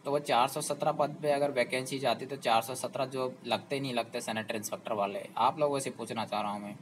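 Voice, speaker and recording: A man's voice: medium pitch, fairly even intonation, brisk, a little hiss or hum, poor audio. A man hosting a job information channel, explaining to students persuasively and often asking them rhetorical questions.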